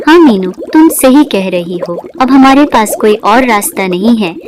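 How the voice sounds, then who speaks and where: A woman's voice: a little high, animated, moderate pace, music in the background, natural voice. A woman playing a caring, humble mermaid mother in a cartoon story. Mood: worried. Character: caring mermaid mother who sells biryani, gentle, humble. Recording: clear background noise.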